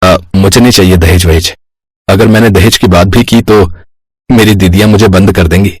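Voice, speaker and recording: Young brother for family story, male actor: lowish raspy tone, cheerful, earnest, affectionate. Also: steady tone, moderate pace, no echo, rough recording.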